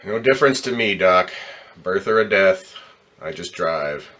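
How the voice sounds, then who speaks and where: An actor playing a gruff, cynical, impatient ambulance driver in an old-time radio drama, with brusque streetwise talk. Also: male voice, sits low, expressive, a little slow, fairly bright tone. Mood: weary, cynical.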